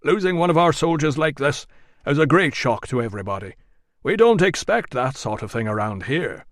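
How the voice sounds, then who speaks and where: A man voicing a dignified middle-aged guild representative with a rich bass voice and a measured, formal manner. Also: very animated, a bit bright, no room sound, clean studio sound.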